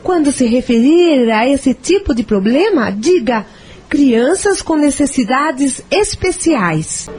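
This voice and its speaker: Actress as frank, mellow-voiced working mother. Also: high voice, big pitch swings, slow, exaggerated voice. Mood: offended. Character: working mother, girlfriend, frank and easily offended. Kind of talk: audio novel dialogue acting.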